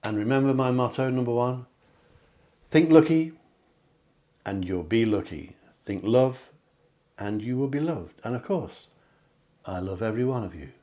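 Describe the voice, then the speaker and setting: A man leads a card reading, chatting and encouraging, correcting himself as he goes. His voice sounds slightly hoarse at times, and he clears his throat. His pitch sits in the middle range for a man. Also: animated, normal speed, pauses often, dark tone. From England. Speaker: psychic card reader. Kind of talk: tarot-style card reading to camera. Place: home near the sea.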